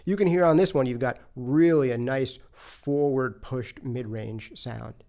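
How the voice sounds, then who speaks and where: A man with a mid-pitched voice talks through a review in a conversational, explanatory manner. He is recorded close on a studio microphone. His delivery is that of a presenter explaining things clearly to viewers. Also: measured pace, warm tone. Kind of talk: explanatory product review talk.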